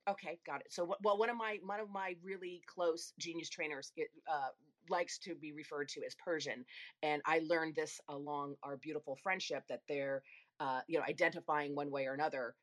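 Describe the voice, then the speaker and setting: An excited woman from Italy co-hosts a live audio show, gushing over her guest with plenty of fillers and restarts. Her voice sits slightly low. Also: normal speed. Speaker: show co-host. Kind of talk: casual chat.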